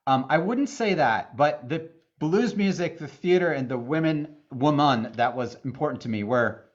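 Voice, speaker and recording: A middle-aged man from the Boston area, chatting casually as a guest with dry, deadpan humor. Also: on the high side, moderate pace, dull audio. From Boston area, USA. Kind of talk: casual interview chat.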